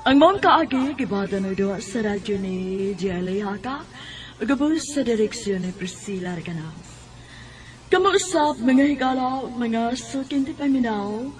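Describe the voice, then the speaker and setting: Higher-pitched Cebuano woman radio host. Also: a little mellow, a little hiss or hum, low-quality recording. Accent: Cebuano Filipino. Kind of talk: scripted radio announcing.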